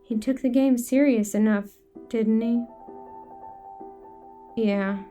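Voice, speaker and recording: A woman playing a dreamy young girl in an audio horror drama, speaking in a slow, lazy, dreamlike way. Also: a little high, steady tone, warm tone, faint music behind. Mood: dreamy, wistful. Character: dreamy young girl recalling childhood games with her father.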